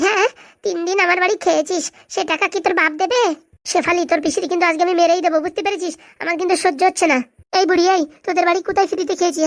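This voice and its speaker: A performer plays a hot-tempered village scold in a comic cartoon. The voice is high and races along at breakneck speed, bossy and combative. The sound is very dry. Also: fairly bright tone, no room sound. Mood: defiant.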